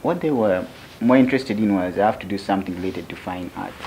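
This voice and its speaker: A man in his twenties from Uganda, answering interview questions slowly and hesitantly, soft-spoken. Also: low voice, a little hiss or hum, low-quality recording. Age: 20s. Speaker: young tech founder, interview guest.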